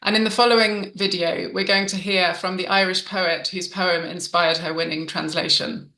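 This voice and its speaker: A woman hosting a literary prize event, introducing guests and pausing often with ums. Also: lowish voice, steady tone. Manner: warm, with frequent ums and restarts. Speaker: event host. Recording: roomy reverberant sound.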